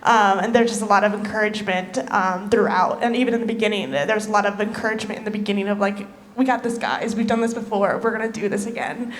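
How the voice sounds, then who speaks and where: A woman's voice: medium pitch, steady tone, fast talker, rough recording. A woman acting as a theatre judge gives informal, enthusiastic and encouraging feedback to young performers.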